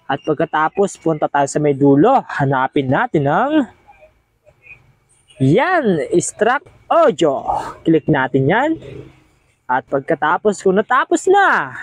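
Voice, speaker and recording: A man's voice: a little high, dry recording. A man hosts a casual step-by-step tutorial, chatty and encouraging, often repeating himself. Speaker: host of video editing tutorial.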